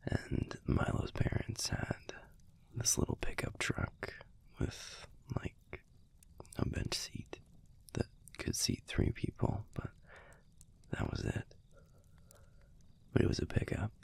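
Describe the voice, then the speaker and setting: A man playing a gruff but fond young werewolf boyfriend in an intimate audio roleplay, telling a story in a hushed, halting, husky and gravelly voice. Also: a bass voice, exaggerated voice. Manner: hushed, halting, conversational delivery with pauses.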